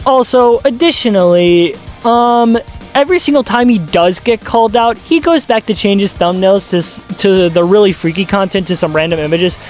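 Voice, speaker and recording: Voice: medium pitch, moderate pace, a little mellow. Casual video essay host, middle register, dry sardonic asides, music underneath. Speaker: host of a video commentary channel. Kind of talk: casual scripted video commentary.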